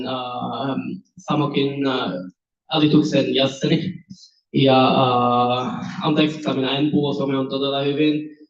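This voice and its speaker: A man from France with a French accent, a native French speaker, answering panel questions as a candidate in an informal, candid, self-deprecating way. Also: medium pitch. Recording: dull, echoey room, distant mic.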